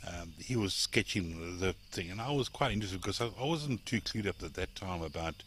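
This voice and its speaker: A South African safari guide with a very low voice spins a rambling yarn, chuckling and restarting his sentences. He sits outdoors by a campfire at night. Crickets and steady outdoor noise fill the background. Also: fairly quick. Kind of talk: campfire storytelling. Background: crickets chirping.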